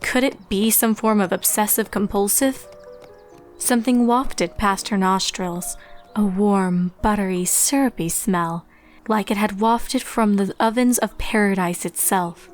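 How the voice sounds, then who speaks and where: A woman's voice: mid-range voice, steady tone, a little slow. Woman narrating a story over music. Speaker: fan fiction narrator.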